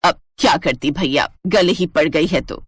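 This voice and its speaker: A scornful middle-aged Indian mother-in-law played by a woman from a cartoon drama. She taunts with theatrical exclamations in a lowered voice, recorded close and dry. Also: moderate pace, husky, no echo. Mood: exasperated. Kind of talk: Hindi cartoon family drama acting.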